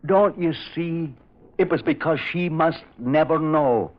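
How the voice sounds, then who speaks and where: A man's voice: a little high, a little slow, lightly acted. Man as a grave, burdened war veteran, muffled. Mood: anguished. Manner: grave, confessional. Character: guilt-burdened loyal male war veteran, secretive and anguished. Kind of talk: old radio mystery drama acting. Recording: dull old radio recording.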